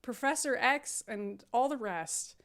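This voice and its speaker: A woman's voice: on the high side, lively intonation, measured pace, a bit bright. A woman hosting a casual comedic livestream, introducing and recapping in a sardonic way, often laughing as she talks. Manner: sardonic, laughs while talking. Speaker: host introducing cast and recapping.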